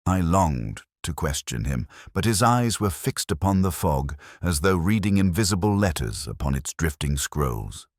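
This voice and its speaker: Very deep-voiced man narrating a dramatic story. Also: very animated, darkish tone, no echo. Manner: measured, formal, literary delivery. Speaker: audiobook narrator.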